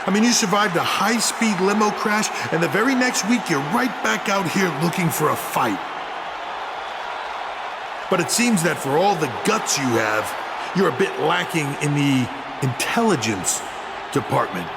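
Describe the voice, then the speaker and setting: A man's voice: on the high side, animated, moderate pace. A man playing an arrogant, menacing wrestling villain with a condescending, taunting promo delivery in a video game. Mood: mocking. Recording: very noisy arena sound.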